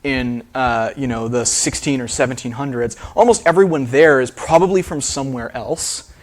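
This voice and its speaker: A man's voice: medium pitch, moderate pace. A man who is a linguist, answering audience questions after a talk in a theater, casual with many fillers. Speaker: speaker, linguist. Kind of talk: lecture Q&A answers.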